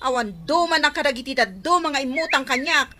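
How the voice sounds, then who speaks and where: Indignant woman debt collector, really high sharp voice, radio comedy drama. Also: brisk. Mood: demanding. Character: shrill, indignant woman creditor.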